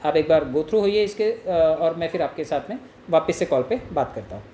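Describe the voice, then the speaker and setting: An echoey room with faint background noise surrounds a man from India as he coaches on selling. He explains things earnestly and informally, often posing rhetorical questions and voicing sample phone pitches. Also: expressive, moderate pace, mellow. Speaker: network marketing trainer. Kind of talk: informal sales coaching with role-played call examples.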